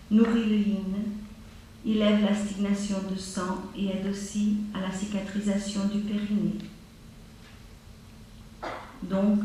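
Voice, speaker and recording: A woman teaching a class on acupuncture, going slowly and steadily through a list of treatment points. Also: medium pitch, darkish tone, distant mic, slight background noise. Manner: slow, measured delivery, listing items one by one. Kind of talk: lecture listing treatment points. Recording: very echoey room, voice from afar.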